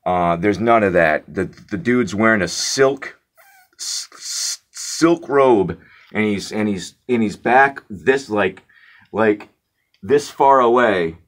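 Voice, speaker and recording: A man's voice: fairly low pitch, animated, slow pace. A young man ranting casually to his viewers, exasperated and mocking, with many restarts and repeated words for emphasis. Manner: frequent restarts and fillers. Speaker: vlogger. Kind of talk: casual rant to camera.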